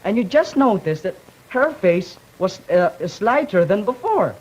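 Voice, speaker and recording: A young man speaks on a 1950s panel with a husky, slightly raspy voice. He talks hesitantly, restarting his phrases often. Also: sits high, big pitch swings, a little mellow, muffled recording. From Philippines. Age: young adult. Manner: hesitant, halting, with many restarts. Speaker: student debater.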